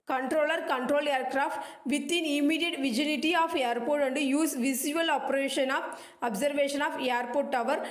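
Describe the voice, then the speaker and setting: A woman reading a scripted lesson aloud as a lecturer, in a halting, choppy, phrase-by-phrase way. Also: sits high. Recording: echoey room.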